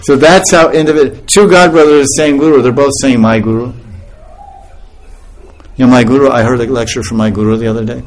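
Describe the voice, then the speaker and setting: A man's voice: medium pitch, a little fast, faint music behind, poor audio. Laughing man giving a spiritual talk. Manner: laughing often, animated storytelling. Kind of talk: informal spiritual lecture.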